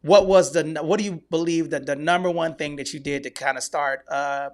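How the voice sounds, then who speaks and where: Guy hosting a podcast, gravelly voice, hypes up the listeners. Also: fairly high pitch, brisk. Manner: enthusiastic, speaks to listeners as guys. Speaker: podcast host. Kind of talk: podcast interview.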